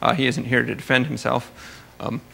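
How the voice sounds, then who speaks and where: Bright-voiced man lecturing, with gravelly creak. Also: mid-range voice, steady tone, fairly quick, soft background music. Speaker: astrophysics researcher presenting at a conference. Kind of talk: academic lecture talk with slides.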